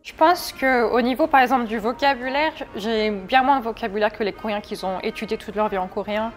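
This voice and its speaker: A woman's voice: on the high side, a little fast, faint music behind. A woman in her twenties from France, chatting candidly in an interview and often restarting her sentences. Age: 20s. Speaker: interview guest. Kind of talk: casual interview talk.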